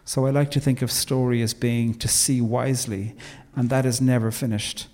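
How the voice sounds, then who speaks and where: Irish man, interview guest, measured and reflective with emphatic moments; mid pitch, long reverb. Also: little pitch movement, mellow. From Ireland. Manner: measured and thoughtful, with emphatic turns. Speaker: guest, theologian and poet.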